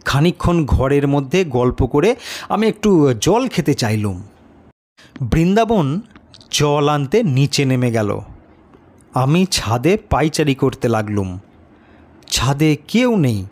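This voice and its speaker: A man narrating a horror story in the first person, with an expressive, deliberate and suspenseful delivery. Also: medium pitch.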